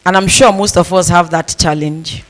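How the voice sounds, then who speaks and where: A woman's voice: on the low side, steady tone, normal speed. A woman pastor giving a conference talk, telling stories with humor and repeating phrases for emphasis. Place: conference hall. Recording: long reverb of a large hall.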